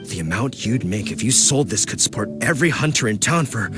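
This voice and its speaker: Man voicing a theatrical, animated food judge. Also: on the low side, fairly quick, music in the background, close to an everyday voice. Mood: astonished. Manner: theatrical, formal. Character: grandiose male food judge, solemn and easily astonished. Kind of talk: video game voice acting.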